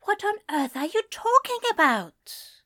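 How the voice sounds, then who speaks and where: A woman's voice: very high-pitched, sing-song, measured pace, fairly bright tone, voice pushed up, full character voice. A woman reading aloud, voicing a prim, flustered male angel who scolds in an anxious, proper way. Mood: fussy.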